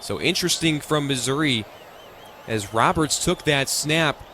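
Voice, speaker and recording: A young American man calling live football on the radio as play-by-play announcer, in punchy, clipped, excitable phrases. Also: on the high side, a little slow. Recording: live stadium ambience noise.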